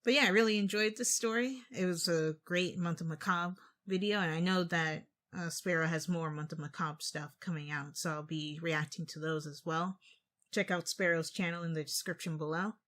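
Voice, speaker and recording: A man's voice: on the high side, fairly even intonation, normal speed, bright, forceful tone. A man giving casual, off-the-cuff reaction commentary as the host of a video.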